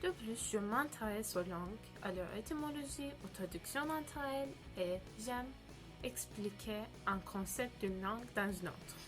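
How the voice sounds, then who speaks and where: A woman's voice: mid-range voice, animated, a little slow. A young woman, a native Turkish speaker, giving an upbeat prepared answer as a language student. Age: young adult. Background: background music.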